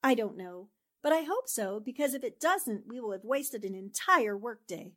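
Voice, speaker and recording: A woman acting as a shrewd newspaperwoman in an old-time radio drama. Her voice sits fairly high, and she speaks briskly and articulately, taking charge with decision. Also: lively intonation, fairly bright tone. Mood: matter-of-fact.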